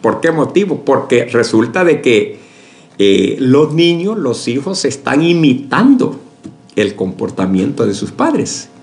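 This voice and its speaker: A pastor preaching a sermon to his congregation, speaking slowly with many fillers and restarts, often asking for agreement. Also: mid-range voice, very animated, a little mellow. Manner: addresses the congregation as brothers, asks for agreement.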